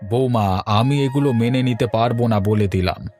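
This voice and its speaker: A man's voice: mid-range voice, normal speed, a little mellow, soft background music, lightly acted. A man playing a sober, practical father-in-law in a cartoon family drama. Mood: displeased.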